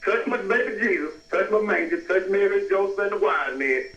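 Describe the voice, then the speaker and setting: A man's voice: sits high, animated, brisk, a bit bright. A man arguing heatedly on the phone in an indignant, combative, emphatic way. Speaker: prank call recipient. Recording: muffled, distant, echoey noisy phone line.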